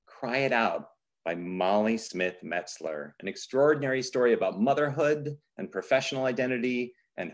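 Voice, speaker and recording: A man presenting a theater season and introducing a reading over a video call. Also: medium pitch.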